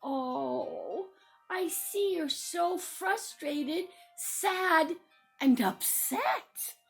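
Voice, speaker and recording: A woman playing a patient teacher who gently and deliberately coaches a child in a children's role-play story. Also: very high-pitched, lively intonation, creaky, faint music behind, exaggerated voice. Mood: sympathetic. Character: patient, soothing adult teacher guiding upset child.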